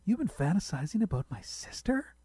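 A man's voice: high-pitched, big pitch swings, fairly quick, warm tone, slightly rough, no echo, voice pushed up, heavily acted. A man voicing an easily outraged wife who bickers with her husband, exclaiming in disbelief and sometimes hissing in whispers. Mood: incredulous, scolding.

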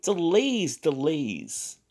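A man voicing an evasive, placating swindler with smooth, careful salesman's patter in a dramatic story reading. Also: fairly high pitch, wildly swooping pitch, drawn-out words, darkish tone, voice pushed up, exaggerated voice. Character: evasive, placating male swindler soothing customers.

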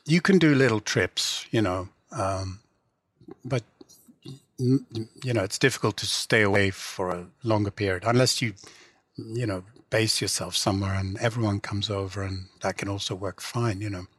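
A middle-aged man with an English accent talks in a husky, slightly rough voice. He is reflective and hedges often with "you know", as a writer being interviewed would. The sound is dry, with hardly any room in it. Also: fairly low pitch, moderate pace, a bit bright, no echo. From England. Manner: reflective, hedging, frequent 'you know'. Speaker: guest, writer and literary translator. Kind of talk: conversational interview.

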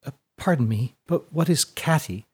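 Man voicing a polite upper-class young woman, higher pitched. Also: expressive, moderate pace, slightly rough. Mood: polite, earnest.

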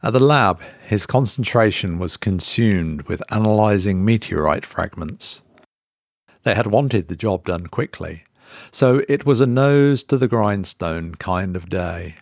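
Male narrator for scripted horror tale: low pitch, measured and suspenseful, close dry sound. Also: expressive, darkish tone, no echo. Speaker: narrator reading a horror short story.

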